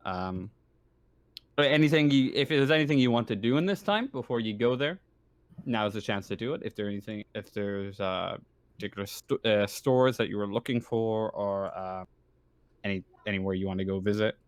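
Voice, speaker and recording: Low, clear-voiced male game master. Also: dry recording. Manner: halting, often rephrasing himself. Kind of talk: improvised tabletop game narration.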